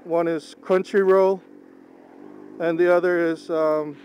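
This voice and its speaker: A young man whose first language is Tagalog chats while driving, in a fairly high, unvarying voice. He talks slowly and unhurriedly, pausing as he looks for words. A faint car engine hums under his talk. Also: little pitch movement, a little mellow. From Philippines. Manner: slow, unhurried, halting with pauses. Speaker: vlogger. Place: inside a moving car. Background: car engine and road noise.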